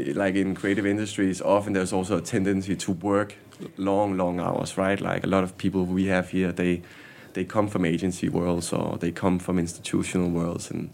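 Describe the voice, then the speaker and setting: Low, flat-voiced man. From Denmark. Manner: candid, frequent restarts and repeated words. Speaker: guest. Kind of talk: casual interview conversation. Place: workshop basement.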